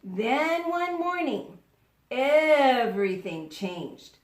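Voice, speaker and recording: A woman teaching young children in a read-aloud lesson, speaking deliberately and instructively, in the manner of an elementary school teacher. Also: high-pitched, sing-song. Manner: deliberate, instructional, repeats words for pupils to echo. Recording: roomy, echoing sound.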